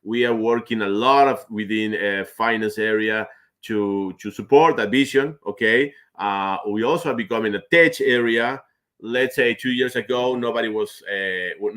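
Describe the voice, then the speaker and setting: A man whose first language is Spanish, speaking as a guest in a live video-call interview, halting and restarting often with repeated words. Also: on the low side, sharp tone. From South America. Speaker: guest, finance manager.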